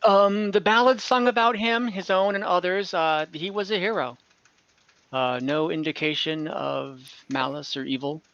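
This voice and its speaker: A game master improvising tabletop game lore, speaking haltingly and searching for words. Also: medium pitch, fairly even intonation, a bit bright, dry recording, highs cut off. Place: online group call.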